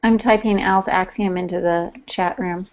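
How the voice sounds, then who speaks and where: A woman's voice: fairly low pitch, fairly even intonation, normal speed. A woman co-hosting a podcast, talking casually about how she works. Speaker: podcast co-host. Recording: dull, lo-fi podcast audio.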